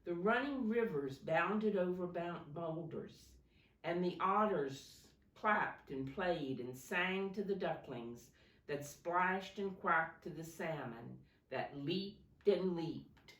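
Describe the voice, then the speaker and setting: A woman teacher reading a picture book aloud to preschoolers, expressively, pausing to explain simply and ask the children questions. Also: lowish voice, animated, fairly bright tone. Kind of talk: storytime read-aloud for young children. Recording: roomy, echoey sound, distant from mic.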